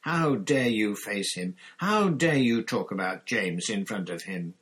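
A man's voice: fairly low pitch, lively intonation, measured pace, fairly bright tone. Guy doing an angry vengeful storybook man, snarly, roaring accusations, out for revenge. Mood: outraged. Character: furious vengeful man confronting the traitor who betrayed friends.

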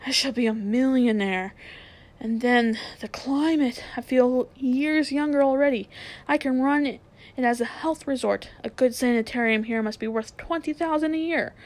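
A woman voicing a greedy, boastful man past sixty who gets carried away by his money-making schemes, read as a storybook character. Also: sits high. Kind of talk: storybook reading aloud.

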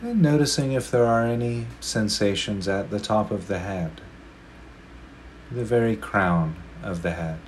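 A man leading a guided meditation, speaking slowly and gently with long pauses between phrases. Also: fairly low pitch, a little hiss or hum. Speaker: meditation teacher.

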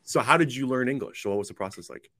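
A man's voice: medium pitch, lively intonation, fast, pushed and bright, slight background noise. A man asking casual, somewhat hesitant interview questions as a podcast host.

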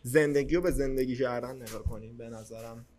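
A man's voice: mid-range voice, steady tone, darkish tone, faint music behind. A man, talking as a podcast host in casual, slangy speech sprinkled with English words. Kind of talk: casual conversational podcast chat.

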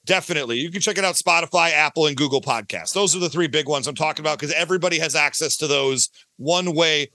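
A man's voice: a little high, fast talker, few pauses, sharp tone, dry recording. A talkative, enthusiastic man chatting casually as a podcast guest, promoting his own work in a self-deprecating way. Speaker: podcast guest, audio drama creator.

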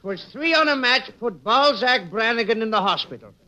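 An actor playing a blustery, superstitious old Irish rascal, spinning tall tales grandly with an Irish accent in a 1940s radio comedy. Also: male voice, very high-pitched, expressive, moderate pace, bright, forceful tone, exaggerated voice. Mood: grandiose storytelling. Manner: grandiose, theatrical storytelling. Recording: dull old-time radio recording.

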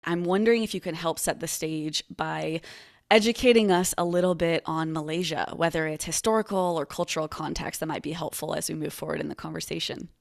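A woman hosting a podcast interview, with measured, gracious introductions and questions. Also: low-pitched, steady tone, gravelly, no echo, studio quality. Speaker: podcast host.

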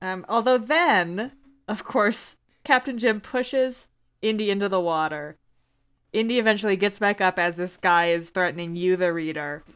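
A woman's voice: medium pitch, moderate pace, fairly bright tone, clean tone. A woman on a podcast casually retelling scenes from a book in a wry, blunt way. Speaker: podcast panelist.

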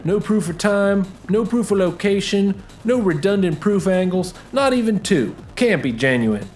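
A man's voice: sits high, lively intonation, moderate pace, darkish tone, faint music behind, pitched above the performer's own voice. A man voicing a skeptical male bar patron in an audio drama, dismissive and clipped. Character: skeptical male bar patron gossiping about fake videos.

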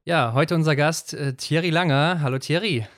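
Smooth-voiced chatty sports podcast host. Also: male voice, on the high side, moderate pace, a bit bright, no echo, clean studio sound. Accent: German, western border region. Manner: chatty, often ends with a tag question. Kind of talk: casual sports chat.

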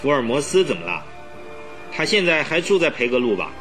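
An actor playing an arrogant, irascible and sly medical specialist in a radio drama, haughty and raspy. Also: male voice, medium pitch, sing-song, fairly quick, a bit bright, faint music behind. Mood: gloating curiosity. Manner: haughty barking, gloating chuckles. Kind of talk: Mandarin radio drama acting.

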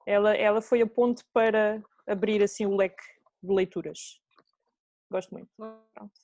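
A woman's voice: medium pitch, steady tone, normal speed, darkish tone. A woman with a European Portuguese accent, co-hosting a casual chat about books. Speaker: book podcast co-host.

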